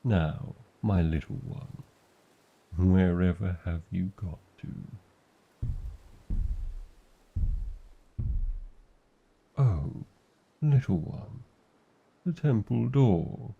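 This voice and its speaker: A man's voice: low-pitched, animated, slow pace, vocal fry, slightly rough, phone-quality sound, heavily acted. A man with a British accent voicing a huge, proud, protective dragon lover in a fantasy ASMR roleplay, speaking in formal, theatrical phrases with tender endearments and wry disdain. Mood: worried, tender.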